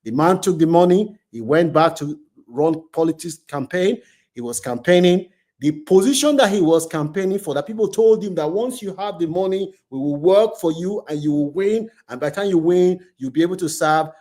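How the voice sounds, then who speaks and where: A man with a slightly high voice works as a host, recounting a story in an informal spoken style. He is emphatic and often repeats phrases to drive a point home. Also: animated, normal speed, a little mellow.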